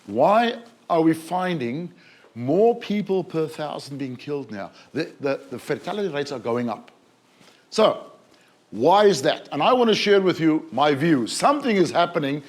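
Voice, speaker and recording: A man from South Africa giving a keynote talk at a conference, measured and questioning, slowing down to stress key words. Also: high-pitched. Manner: measured, questioning, slows for emphasis. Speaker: conference speaker. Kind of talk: keynote presentation. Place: conference hall.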